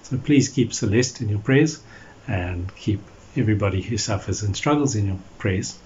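A man in his forties, a pastor giving a measured sermon to camera, pausing often and stressing key phrases. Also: on the low side, a little mellow. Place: small indoor room at home or office. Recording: dull lo-fi audio with background noise.

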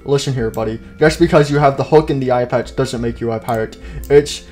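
A man's voice: mid-range voice, a little fast, darkish tone, lightly acted. Man playing a patronizing pirate fox, faint music behind. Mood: lecturing. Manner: rambling, patronizing pirate talk. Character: self-assured, busy pirate fox animatronic, condescending mentor.

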